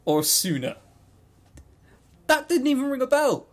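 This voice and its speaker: A man co-hosting a podcast, chatting casually about games and telling stories in an animated, jokey, sweary way. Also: extremely high pitch, unhurried. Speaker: podcast co-host.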